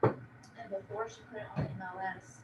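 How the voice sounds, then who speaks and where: A woman's voice: medium pitch, steady tone, normal speed. A woman student chatting and asking questions during a class. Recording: distant, echoey, loud background noise.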